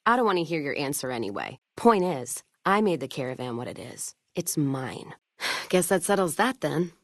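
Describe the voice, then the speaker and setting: A woman playing a hard-drinking, sharp-tongued American caravan owner in a post-apocalyptic western game, with blunt, sardonic, folksy profanity. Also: deep voice, very animated, moderate pace, no room sound, clean studio sound, exaggerated voice. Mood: defiant, irritated. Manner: blunt, sardonic, profane frontier drawl with folksy turns of phrase. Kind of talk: video game character dialogue.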